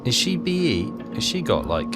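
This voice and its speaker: A man's voice: medium pitch, huge pitch swings, moderate pace. A man doing casual let's play commentary, muttering and questioning himself as he thinks aloud. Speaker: video game player and commentator. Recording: game music behind the voice. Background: game music.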